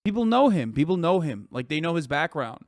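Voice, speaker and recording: A man live streaming, reacting to tweets and lecturing his chat in a profane, animated way full of rhetorical "okay?" asides. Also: a little high, brisk, dry recording, clean studio sound.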